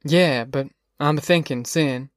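A man's voice: on the high side, a little slow, no echo, clean studio sound, lightly acted. A man voicing a guilt-ridden, brooding farm uncle with a gloomy, folksy manner in an audiobook. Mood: guilty gloom.

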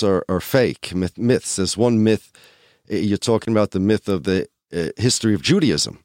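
A man's voice: lowish voice, darkish tone, no room sound, studio quality. A man hosting a podcast interview, asking probing questions in eager, stammering bursts. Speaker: podcast host.

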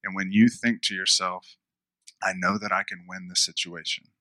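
Male pastor, deep, bright and forceful, dry close sound, casual sermon, repeats phrases. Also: level tone, normal speed, dry recording, rough recording. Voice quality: smooth radio voice. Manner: conversational, repeats phrases for emphasis.

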